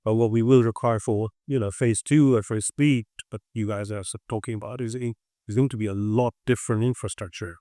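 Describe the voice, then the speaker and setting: A man's voice: on the low side, steady tone, fairly quick, warm tone, dry recording, clean studio sound. A man discussing his industry as a tech consultant, emphatic and often repeating words mid-sentence.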